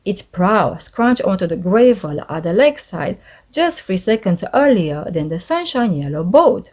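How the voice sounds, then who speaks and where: A woman reading a children's storybook aloud as scripted narration, in an expressive storyteller's manner. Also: medium pitch, measured pace. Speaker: storybook narrator, channel host.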